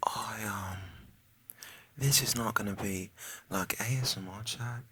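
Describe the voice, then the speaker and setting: Young male ASMR creator, put-on British accent, soft rambling whisper, low voice with a bright edge. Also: a little slow, slight background noise.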